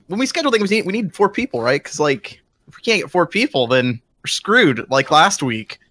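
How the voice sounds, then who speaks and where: A man's voice: a little high, sharp tone, no room sound. A young man hosting a casual podcast chat, full of teasing, sarcastic banter. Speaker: podcast host.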